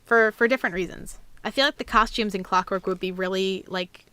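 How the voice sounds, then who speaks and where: Young female streamer: casual, rambling Q&A chat, clean smooth voice, very dry sound. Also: mid-range voice, brisk, pushed and bright, no room sound. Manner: chatty, rambling. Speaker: host, amateur voice actor.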